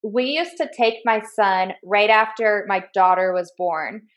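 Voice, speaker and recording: A woman's voice: mid-range voice, a little slow. A woman in her thirties co-hosting a casual chat show, easygoing and agreeable. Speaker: podcast co-host.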